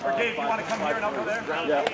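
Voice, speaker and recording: A man's voice: a little high, expressive, very fast, echoey room. A man calling out plays and encouragement at an amateur baseball game. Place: outdoors at a baseball field. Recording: noisy outdoor field with crowd sound.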